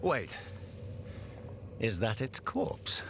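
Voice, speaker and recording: An actor playing an imperious, scornful middle-aged ruler in a fantasy game, with a husky voice and commanding, disdainful delivery. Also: male voice, on the low side, very animated, noisy background, exaggerated voice. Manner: commanding, theatrical, deliberate disdain. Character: imperious ruler lording over his commanders, scornful and cold. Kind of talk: dramatic fantasy game acting.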